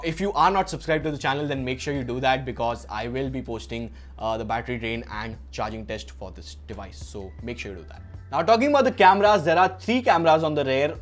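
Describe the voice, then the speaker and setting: A young man with an Indian accent narrating an unboxing as a tech reviewer. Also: mid-range voice.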